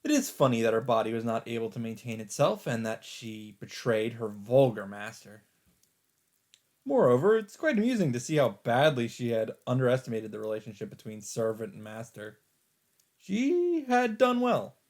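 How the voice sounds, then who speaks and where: A man reading a visual novel aloud as a let's play host, in dramatic, expressive narration with deliberate phrasing and pauses. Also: medium pitch. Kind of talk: dramatic narration read aloud from on-screen text. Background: background music.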